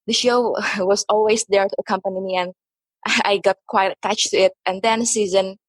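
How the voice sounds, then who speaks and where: A woman from Indonesia, a podcast guest speaking English haltingly with many pauses. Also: medium pitch, steady tone, no room sound. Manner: hesitant, many "uh" and restarts. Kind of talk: casual podcast chat.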